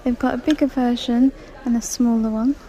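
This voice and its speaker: Female vlogger, flat-ish delivery, reading prices aloud while filming in a store. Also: on the high side, moderate pace, rough recording. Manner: chatty, reads out prices. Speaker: shopping vlogger. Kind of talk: casual shop-with-me narration. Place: large indoor discount store. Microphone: handheld phone camera.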